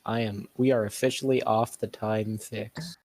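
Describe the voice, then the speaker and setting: A man playing a tabletop game, cracking deadpan jokes with the group. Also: lowish voice, normal speed. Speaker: player. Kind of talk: casual tabletop game banter.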